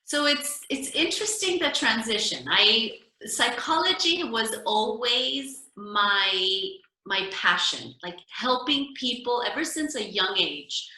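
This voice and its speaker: Bright, echoey-sounding woman guest. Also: on the high side, animated, slow, hardly any pauses. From United States. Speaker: life coach guest. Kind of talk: casual podcast interview. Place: home in Costa Rica, remote call. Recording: echoey room.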